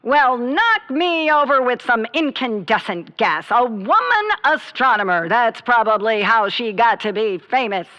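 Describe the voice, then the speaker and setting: A woman's voice: fairly high pitch, expressive, unhurried, hardly any pauses, sharp tone, lo-fi sound. A woman playing a brash, grumbling nightclub bandleader, sardonic and colloquial, in a comedic radio drama. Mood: scornful.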